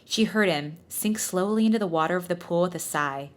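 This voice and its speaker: A woman's voice: on the low side, fairly quick. Woman podcast host reading fiction with playful theatrics. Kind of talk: comedic read-aloud with casual banter.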